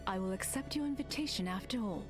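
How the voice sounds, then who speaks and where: A woman's voice: medium pitch, animated, quick pace, natural voice. A woman playing a noble, dignified warrior in a video game, formal and resolute. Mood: solemn, resolute. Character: solemn, noble, dignified warrior spirit-slayer. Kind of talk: video game hero voice lines. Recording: game dialogue over loud music.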